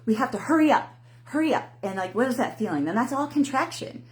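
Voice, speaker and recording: A middle-aged woman talks casually to her live viewers, and her pitch swings widely from phrase to phrase. She is animated, laughs often, and acts out worried inner thoughts in different voices. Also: fast talker. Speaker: host, intuition coach.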